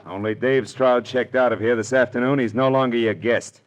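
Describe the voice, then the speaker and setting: An actor playing a tough, wry American private detective in a 1940s radio drama, with clipped, world-weary wisecracking delivery. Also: male voice, medium pitch, steady tone, normal speed, lightly acted. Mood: tough, taunting. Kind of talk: 1940s radio drama acting and first-person narration. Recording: old radio recording with music.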